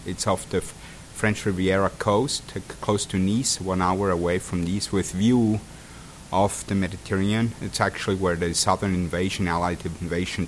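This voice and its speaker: A traveling pastor with a Swiss accent giving a sermon, talking in halting bursts full of fillers and restarts, then reading prepared text aloud. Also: male voice, lowish voice, slight background noise. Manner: hesitant, many fillers and restarts. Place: congregation hall.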